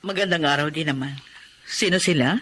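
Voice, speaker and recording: A woman playing a caring middle-aged adoptive mother in a Filipino radio drama, speaking in a warm, motherly way. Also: low voice, fairly quick. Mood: polite.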